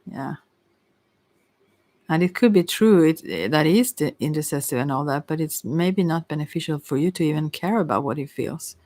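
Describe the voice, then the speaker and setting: A psychic reader offers unscripted spiritual advice in an ASMR style. The voice sits at a middle pitch and sounds very dry, with little room sound. The delivery is gentle and consoling, wandering along with frequent self-corrections. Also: lively intonation, dry recording. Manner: halting, rambling, often self-correcting.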